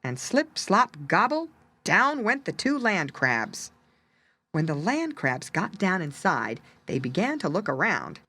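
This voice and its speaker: A woman reads a children's tale with expressive, dramatic emphasis. Her voice sits a little low but moves widely in pitch, and its tone is bright and forward. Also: slow pace. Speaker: storyteller. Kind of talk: animated children's story narration.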